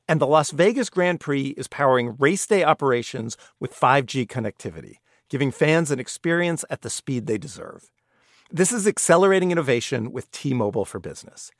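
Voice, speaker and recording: Male corporate announcer, medium pitch, close dry booth, scripted read. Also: dry recording. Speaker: advert announcer. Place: dry studio.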